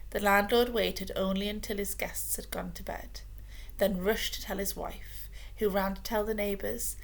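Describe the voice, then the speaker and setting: Woman storybook reader, expressive, hushed, gravelly texture. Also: mid-range voice, poor audio. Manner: expressive, often dropping to a hushed whisper. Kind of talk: children's story reading.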